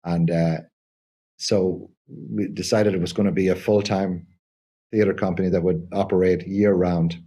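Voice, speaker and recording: An older man, a theatre company co-founder, talking in an interview in a halting way with many repeated restarts. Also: deep voice, little pitch movement, mellow, breathy edge. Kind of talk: conversational interview.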